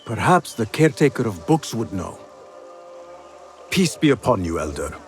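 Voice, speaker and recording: A man's voice: fairly low pitch, very animated, normal speed, soft background music. A man playing a determined, controlled young assassin in a video game, earnest and probing. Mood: respectful inquiry. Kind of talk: video game character acting.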